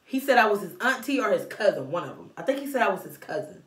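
A young woman telling a story in a vlog, sassy and animated, filmed by a camera in a small room at home. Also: medium pitch, fast talker, reverberant, recorded from a distance. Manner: animated and sassy, waving her arms. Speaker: vlogger. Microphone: camera in front of her.

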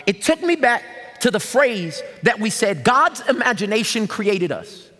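Fiery animated preacher man. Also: sits high, a little slow. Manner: animated, shouting call-and-response preaching. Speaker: pastor. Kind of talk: sermon. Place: large church stage with drum kits. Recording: long reverb of a large hall.

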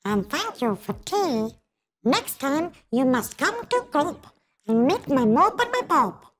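Friendly young alien girl for a children's storybook, voiced by a woman: high, gently polite, unhurried delivery. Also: very animated, exaggerated voice.

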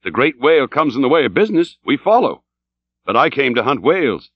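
A man's voice: medium pitch, sing-song, fairly quick, husky, lo-fi sound. A man voicing a sober, sceptical first mate of a whaling ship in an audiobook, earnest, questioning and restrained. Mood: sober, doubting.

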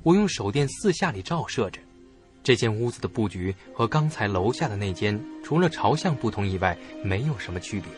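A man's voice: lowish voice, big pitch swings, a little fast, faint music behind. A man narrating a suspense story in a dramatic, theatrical audio-drama style. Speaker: first-person narrator of a horror story.

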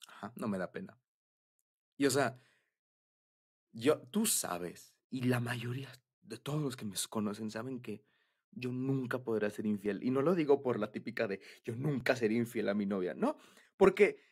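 A man's voice: medium pitch, breathy edge. A young man with a Mexican accent, chatting casually and intimately as a boyfriend in an ASMR roleplay, rambling in giggly, slangy speech. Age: young adult.

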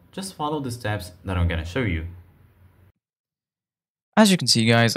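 A man's voice: on the low side, clear, resonant tone, no echo, studio quality. A man presenting a tutorial as a voice-over, partly reading step-by-step instructions aloud. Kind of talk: scripted tutorial narration, reading a guide aloud.

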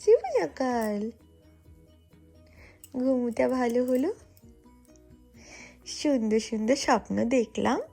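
A woman's voice: fairly high pitch, slow, gravelly, pauses often, warm tone, faint music behind. A woman talking playfully to her baby, cooing and repeating short questions.

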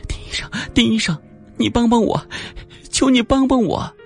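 A man's voice: very high-pitched, moderate pace, gravelly, faint music behind, pitched above the performer's own voice. A man voicing a distraught young woman in an audiobook, pleading desperately in a trembling, tearful, stammering voice. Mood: hysterical pleading. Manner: trembling, crying, stammering pleas.

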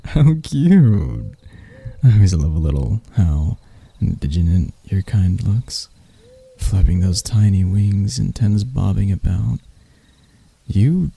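Guy doing a creepy flirty spider man for asmr, slow n gravelly, super dark breathy voice, toys with his prey. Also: on the low side, no echo, exaggerated voice. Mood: fond mockery. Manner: slow, teasing, purring endearments. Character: sadistic, seductive male spider-elf predator toying with prey. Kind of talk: intimate ASMR roleplay acting.

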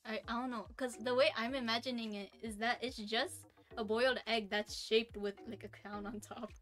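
A young woman chatting as a guest on her sister's stream, soft-spoken and hesitant, mostly giving short answers. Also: fairly high pitch, fairly even intonation, moderate pace. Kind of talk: casual stream chat.

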